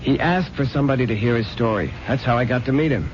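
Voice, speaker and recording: A man's voice: medium pitch, fast, background noise, natural voice. An actor playing an earnest newspaper reporter pleading his case to his editor in a radio drama. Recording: lo-fi old radio recording.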